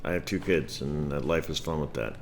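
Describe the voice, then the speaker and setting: A man's voice: rumbling low, brisk, over music. A man in his late thirties from Indiana, chatting casually with a dry, joking manner. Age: 30s. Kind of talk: casual chat.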